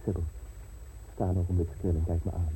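An actor playing a charming, persuasive young secretary in an old radio drama, wooing with a theatrical delivery. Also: male voice, low voice, little pitch movement, fairly quick, warm tone, slight background noise, close to an everyday voice, low-quality recording. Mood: tender. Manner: smooth, courting, theatrical delivery. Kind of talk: 1950s radio drama acting.

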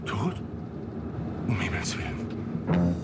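An actor playing a polite, slightly slow-witted minor official in a 1960s radio crime play. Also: male voice, deep voice, sing-song, over loud music, heavily acted. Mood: flustered, shocked. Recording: noisy old radio archive recording.

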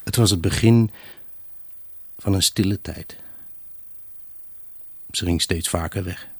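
An actor playing a lonely, grieving husband in an intimate radio drama monologue, brooding, halting and emotionally raw. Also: male voice, sits low, husky, dry recording, exaggerated voice. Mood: brooding, wistful. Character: grieving, haunted husband, lonely, obsessive and pleading. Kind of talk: Dutch radio drama monologue, intimate first-person narration.

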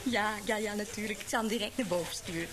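An actress playing a chirpy, gossipy young newspaper receptionist in a radio play, courteous and chatty. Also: female voice, mid-range voice, fast talker, noisy background, lightly acted. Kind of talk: Dutch radio play acting.

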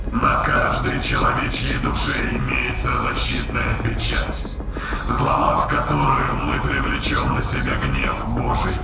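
An actor playing an ancient, contemptuous captive alien spirit in an audio drama, with a rasping, whispery voice and slow, archaic, solemn speech. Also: male voice, on the low side, heavily acted. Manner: archaic solemn diction, cryptic slow pronouncements. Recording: heavy echo, distant, loud music behind.

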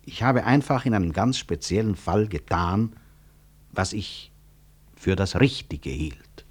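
A man's voice: low voice, animated, unhurried, breathy edge, heavily acted. An actor playing a proud, principled middle-aged medical professor in a German radio play, formal and emphatic in his delivery. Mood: firm.

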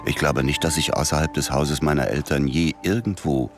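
Very deep-voiced, reflective narrator. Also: male voice, music in the background. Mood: reflective, detached. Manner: clipped, measured, matter-of-fact. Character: observant, reflective man recounting his recruitment. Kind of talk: first-person radio drama narration.

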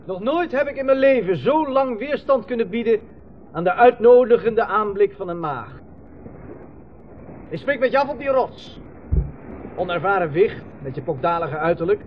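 A man's voice: sits high, lively intonation, moderate pace, long pauses, a little hiss or hum. An actor playing a self-mocking romantic loser who declaims grandly to his beloved in a 1970s radio drama. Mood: exultant wooing. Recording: slightly dull old radio recording. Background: prominent music.

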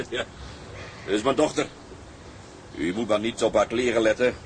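An actor playing a hearty, plain-spoken tugboat skipper and owner in an audio drama. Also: male voice, fairly low pitch, moderate pace, slight background noise, lightly acted. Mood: courteous. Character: hearty male tugboat skipper selling his beloved ship. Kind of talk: Dutch audio drama dialogue.

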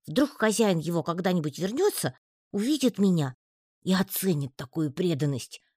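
A woman's voice: on the low side, big pitch swings, darkish tone, no room sound, clean studio sound, voice pushed up. A woman voicing a tiny, lonely male spider who talks in a plaintive, pleading way for an audiobook. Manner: plaintive, pleading, quick to take offence. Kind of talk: audiobook reading.

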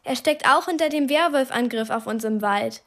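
A woman's voice: fairly high pitch, normal speed, a bit bright. An actress playing an earnest, urgent twelve-year-old girl in a radio play. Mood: anxious, earnest.

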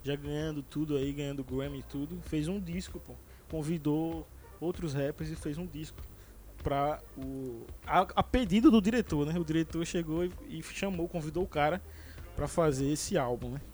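A man's voice: fairly high pitch, normal speed, a little mellow. A man hosting a casual podcast about films, talking with many fillers and restarts. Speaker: podcast host. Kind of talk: casual movie chat.

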